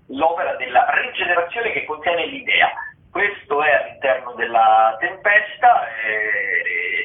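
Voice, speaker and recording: A man, a theatre critic, calling in to a radio show and running loosely through the week's theatre listings with many fillers and self-corrections. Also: a little high. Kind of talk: casual radio rundown of weekly theatre listings. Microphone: phone call into radio studio. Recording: tinny phone line with echo.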